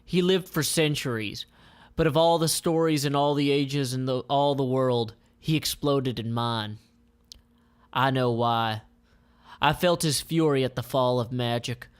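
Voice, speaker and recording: A man playing a doomed magician who delivers a grim comic-book monologue in a solemn, deliberate, dramatic way. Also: medium pitch, clear, resonant tone, lightly acted.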